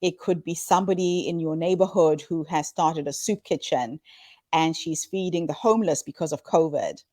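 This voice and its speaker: A woman in her fifties from Zimbabwe speaks with a lowish voice, earnest and upbeat as she answers interview questions. The sound is dry and close, with no room around it. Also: normal speed, dry recording. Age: 50s. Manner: earnest, upbeat storytelling with warm laughs. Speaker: guest, founder of a women's story platform.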